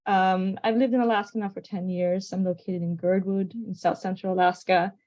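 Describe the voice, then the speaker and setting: A woman with a Swedish accent, a guest scientist introducing herself on a video call in a relaxed, friendly way. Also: mid-range voice, normal speed, muffled recording. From Sweden. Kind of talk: casual self-introduction.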